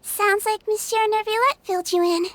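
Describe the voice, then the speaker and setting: A woman voicing a calm, kind young nonhuman doctor in a video game, who tells her own story in a soft, kindly way. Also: very high voice, moderate pace, no room sound. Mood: gentle. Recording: game soundtrack music behind.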